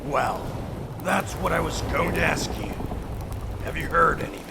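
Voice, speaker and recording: Gravelly, mad sea captain. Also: male voice, on the high side, lively intonation, moderate pace, very noisy. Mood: slyly probing. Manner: florid, pompous, falsely chummy. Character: mad ship captain, theatrically jovial, menacing underneath. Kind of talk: audio drama acting.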